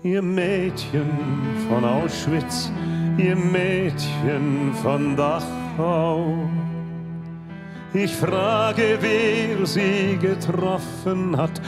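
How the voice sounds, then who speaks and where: A woman's voice: fairly low pitch, very slow. A woman reciting a poem solemnly, repeating lines. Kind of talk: slow poetry recitation. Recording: recitation over loud music. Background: sad instrumental music.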